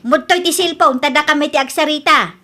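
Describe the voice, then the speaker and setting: A woman plays a shamelessly demanding older mother in a radio comedy drama. Her voice is high and bright, with a forceful edge. She nags bluntly and insistently. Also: a little fast.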